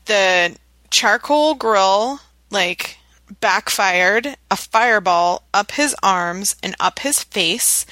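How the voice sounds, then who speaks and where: A woman's voice: medium pitch, pushed and bright, dry recording, rough recording. A woman from the Washington DC area co-hosting a podcast, chatting casually in a joking, playful way. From Metro DC area, USA. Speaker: podcast co-host. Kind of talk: casual chat.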